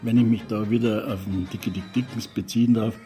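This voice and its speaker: A man's voice: on the low side, fairly even intonation, fairly quick, dark tone, soft background music. An older man, a former sound engineer, reminiscing plainly in an interview. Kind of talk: recalling in an interview.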